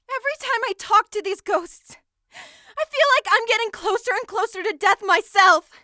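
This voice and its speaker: A woman acts out a frightened teenage schoolgirl in an anime horror game dub. Her voice is very high and bright, pleading and easily overwhelmed. The recording is close and dry. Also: no room sound, full character voice.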